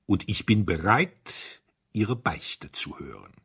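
An audiobook priest acted by a male reader, polite yet grave and emphatic. The voice is very husky and swings widely in pitch. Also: very animated, slow, fairly bright tone, heavily acted. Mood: calm, grave. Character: kindly priest turning sternly menacing, courteous and solemn. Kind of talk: audiobook character voice.

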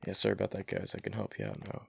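A man acting a gravelly, flustered gamer boyfriend. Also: sing-song, a little hiss or hum, exaggerated voice. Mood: relieved, sheepish. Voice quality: deep, gravelly. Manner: halting, stammering, murmured. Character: flustered, affectionate young gamer boyfriend, hushed and pleading. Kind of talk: boyfriend ASMR roleplay.

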